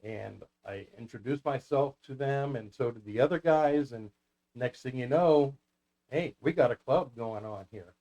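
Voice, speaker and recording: A man's voice: medium pitch, unhurried, warm tone, dull audio. Man, American accent, casual interview guest, chatty storyteller, mid-range voice. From United States. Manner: chatty, anecdotal. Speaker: guest, CB radio club founder.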